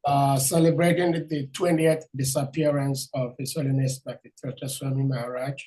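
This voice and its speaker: A man hosting an online devotional talk, hoarse from losing his voice, welcoming a speaker politely with many hesitations. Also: mid-range voice, flat delivery, fairly quick, mellow, rough recording. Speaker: host. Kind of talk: formal welcome and introduction.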